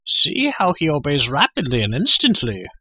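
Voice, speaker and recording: Groveling furry creature, high-pitched, wailing, swooping wildly, pleading, hungry, storybook style. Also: male voice, wildly swooping pitch, normal speed, bright, forceful tone, slightly rough, no echo, heavily acted, rough recording. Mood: eager, fawning. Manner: wailing, pleading, fawning, speaks of himself in third person. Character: whining, groveling, hungry half-animal hairy male creature. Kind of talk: storybook character voice.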